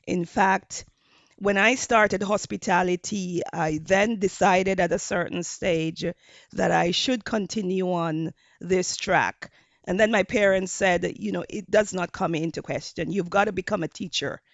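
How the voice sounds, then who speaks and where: A woman from Jamaica with a Jamaican accent, a hospitality professor giving measured interview answers with frequent fillers. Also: on the low side, steady tone, no echo, muffled recording.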